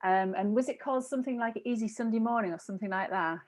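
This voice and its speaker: A woman's voice: a little high, fast. A woman in her thirties chatting casually as a podcast panelist, hesitant and often interrupting herself as she looks for words. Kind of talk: casual chat. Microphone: wired earphones on video call.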